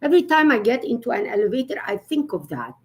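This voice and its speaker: A woman's voice: on the high side, sing-song, fast talker, husky. A woman speaking as an etiquette expert in a live-stream conversation, explaining earnestly with frequent fillers.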